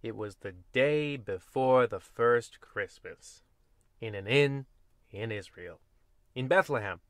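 A man's voice: mid-range voice, slow pace, no echo. Man, comedic horror radio host, dry close sound, rambling folksy storyteller, wry asides.

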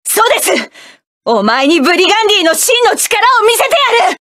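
A woman's voice: very high voice, sing-song, brisk, a bit bright, no room sound, studio quality, full character voice. An actress playing a fierce, commanding young female mecha pilot who calls out attack orders in battle. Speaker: game character. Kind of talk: video game battle voice lines.

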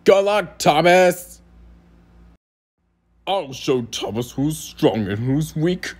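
Man voicing a raspy, pompous cartoon steam engine. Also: on the high side, very animated, takes it slowly, full character voice. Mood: smug, scheming. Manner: pompous, theatrical, sneering. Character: boastful, scornful silver steam engine, male, pompous rival. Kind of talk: amateur cartoon voice audition.

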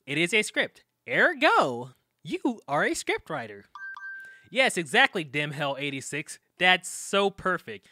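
An actor playing a young podcaster in a comedic audio drama, a teasing, pushy best friend who banters insistently and with emphasis. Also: male voice, high voice, sing-song, unhurried, bright, forceful tone, no echo, full character voice.

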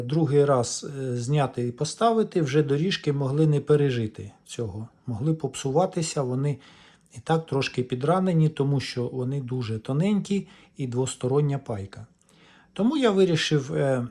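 A man's voice: a little high, warm tone. A man giving a casual, step-by-step repair demonstration, explaining in a hesitant way. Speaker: electronics repair vlogger.

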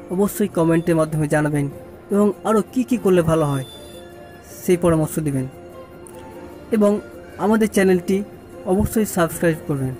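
A man's voice: sits high, mellow. A man presenting a scripted sign-off to a channel's viewers.

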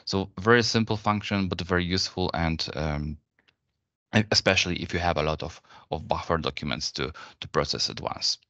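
A man explaining software step by step in a webinar demo, speaking in a halting, unscripted way. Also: low-pitched, dry recording. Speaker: software presenter. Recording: dull, lo-fi online webinar audio.

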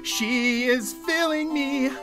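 A young man livestreaming a horror game, chatting to viewers with jokey, excitable, crude swearing. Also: extremely high pitch, slow pace. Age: young adult. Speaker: game streamer. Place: small dim room indoors at home. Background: horror game music.

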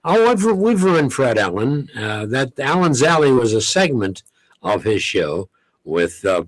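A man's voice: medium pitch, big pitch swings, measured pace, no echo, rough recording. Genial older man in a radio studio. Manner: genial, joking, reminiscing. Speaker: radio show host, guest. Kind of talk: casual interview talk. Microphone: studio boom mic.

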